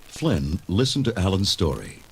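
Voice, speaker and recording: A man's voice: low-pitched, huge pitch swings, normal speed, creaky, noisy background. A man narrating a children's story record in a dramatic, scripted style.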